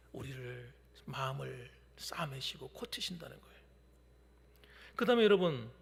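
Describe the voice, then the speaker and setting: A male pastor in his fifties preaching a midweek sermon, shifting between loud declarations and hushed whispers. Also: fairly high pitch, vocal fry. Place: church sanctuary during a midweek service. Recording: long hall reverb from a church.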